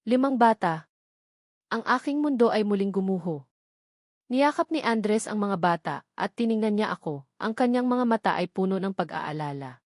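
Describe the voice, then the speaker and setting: A woman narrates a scripted first-person love story in an emotive, melodramatic way with deliberate phrasing. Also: mid-range voice, expressive, dry recording, clean studio sound. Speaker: audio drama narrator.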